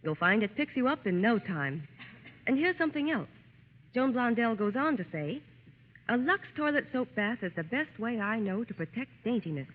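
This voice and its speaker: Animated woman pitching on old radio. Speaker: commercial announcer. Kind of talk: 1940s radio advertising pitch. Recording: tinny, reverberant vintage radio recording.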